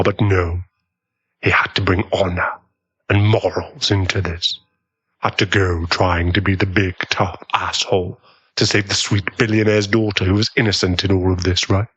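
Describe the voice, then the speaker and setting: A husky young male vampire, acted by a man, pitched low and a little muffled. He is smug and possessive, doting one moment and coolly predatory the next, in an intimate ASMR roleplay style. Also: fairly bright tone. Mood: cold, possessive. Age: young adult. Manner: teasing, coolly menacing. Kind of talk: ASMR boyfriend audio roleplay.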